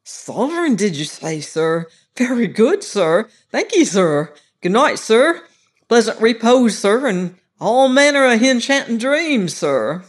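A man's voice: sits high, expressive, normal speed, voice pushed up. Man doing a fawning cockney-style ship steward. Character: cringing, wheedling old ship steward, man.

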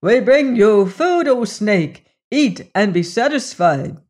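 A man's voice: high-pitched, lively intonation, takes it slowly, a little mellow, voice pushed up, full character voice. A man voicing a humble male temple priest crying out in worship. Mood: fervent. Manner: humble then crying aloud.

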